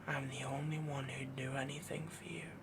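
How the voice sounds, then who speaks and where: A man playing a possessive, doting young werewolf boyfriend in a soft, breathy, half-whispered intimate roleplay audio, rambling and dominant as he coos endearments. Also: medium pitch, steady tone, normal speed, background noise, close to an everyday voice. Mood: jealous, possessive.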